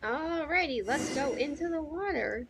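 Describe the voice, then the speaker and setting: A woman's voice: high voice, expressive, normal speed, background noise. A woman livestreamer talking casually to her chat while playing a game, chatty and informal. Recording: game music behind the voice. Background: video game music.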